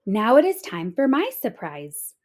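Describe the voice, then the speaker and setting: A woman voicing a kind, clever little hedgehog in a storybook, gentle and reassuring. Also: a little high, huge pitch swings, measured pace, full character voice.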